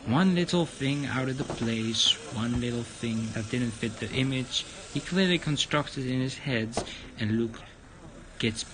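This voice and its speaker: A man streaming a visual novel and reading its narration aloud, a bit halting. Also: mid-range voice, background noise. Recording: guitar music behind the voice.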